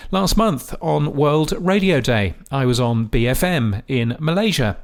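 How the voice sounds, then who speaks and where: Gravelly man giving measured commentary. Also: medium pitch, animated. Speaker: podcast host. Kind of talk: scripted radio-industry commentary.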